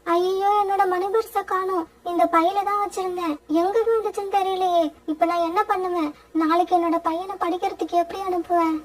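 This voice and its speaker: A woman's voice: very high-pitched, little pitch movement, a little fast, voice pushed up. A woman voicing a devoted, hardworking mother sparrow in a cartoon fairytale. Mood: distraught wailing. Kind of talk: animated cartoon fairytale dialogue.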